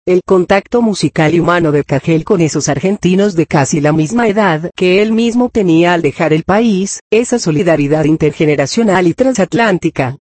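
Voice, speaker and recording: A narrator reads a scholarly text aloud at a medium pitch. The delivery is formal and measured, clearly scripted, with references read out in the same careful way. The sound is close and dry, free of room echo. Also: darkish tone.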